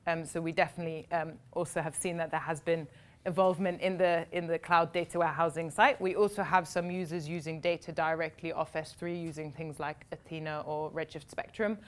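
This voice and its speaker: A woman's voice: deep voice, fairly even intonation. Young woman fielding tech questions. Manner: fluent, quick explanations with fillers like "um" and "like". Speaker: conference speaker answering audience questions. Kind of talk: live Q&A at a tech meetup. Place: meeting room with seated audience, indoors.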